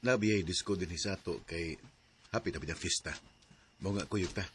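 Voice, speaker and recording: Husky low-voiced young suitor. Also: male voice, moderate pace, a bit bright, full character voice. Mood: coaxing. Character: young male suitor Matthew, pleading, persistent. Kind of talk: Philippine radio drama acting.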